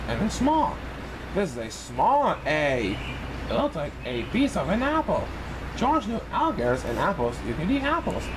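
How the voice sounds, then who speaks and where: A man reading a children's picture book aloud in an expressive, sing-song way for young listeners. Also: a little high, noisy background. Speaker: storybook reader in a banana persona.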